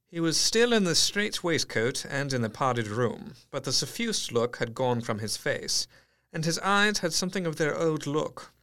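An actor playing a studious Victorian asylum doctor dictating case notes in an audio drama, clinical, intent and measured. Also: male voice, a little high, sing-song, sharp tone, full character voice. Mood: intrigued, clinical. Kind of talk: audio drama diary narration.

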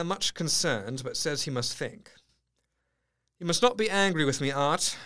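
Fairly high, earnest young doc reading his letters calmly. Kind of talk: radio drama, reading letters and diary entries.

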